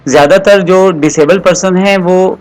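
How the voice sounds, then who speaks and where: A man narrating a step-by-step software tutorial in an explanatory, instructional way, repeating his instructions. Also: high-pitched, fairly even intonation, moderate pace.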